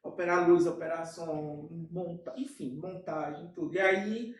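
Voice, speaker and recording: A Brazilian man speaks as an interview guest, lively and full of quick asides. His voice sounds set back from the mic in a very echoey room. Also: on the high side, far from the mic. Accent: Brazilian Portuguese. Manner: animated, with frequent fillers and quick asides. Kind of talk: casual storytelling in an interview.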